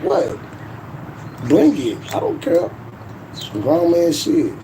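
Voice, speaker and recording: A man's voice: fairly high pitch, wildly swooping pitch, slow, background noise. A man, hosting a casual livestream in a boastful, profane, laughing way. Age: 40s. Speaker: YouTube livestream host.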